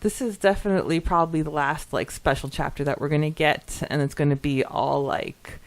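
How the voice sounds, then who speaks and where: A woman's voice: low voice, steady tone, fairly quick. A woman co-hosting a podcast in a giggly, chatty way, moving between casual talk and reading comments aloud. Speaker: podcast co-host.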